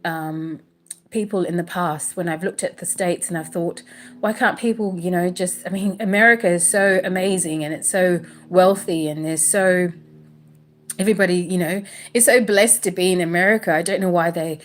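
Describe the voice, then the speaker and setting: A woman raised in Australia with a British accent, talking casually and haltingly on a livestream from an apartment, full of pauses and fillers. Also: fairly low pitch, steady tone, a little hiss or hum. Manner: hesitant, many pauses and fillers. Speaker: livestream host. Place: indoors in an apartment.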